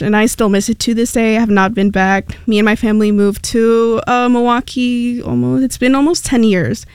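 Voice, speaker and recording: A young woman from Mexico, a native Spanish speaker, talks easily in a radio studio as the station's intern. Her voice comes through smooth and clear. The sound is close and dry, and her casual, rambling manner is full of little fillers. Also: a little high, no room sound. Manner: chatty and rambling, many fillers.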